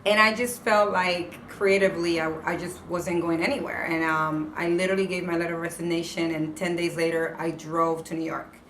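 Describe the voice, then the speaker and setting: Passionate interview guest, female, slightly low voice, heard from a distance with faint background noise. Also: brisk, hardly any pauses. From Puerto Rico. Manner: passionate, expressive. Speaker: interview guest, entertainment correspondent. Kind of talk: casual interview answers. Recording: echoey room, distant mic, faint noise.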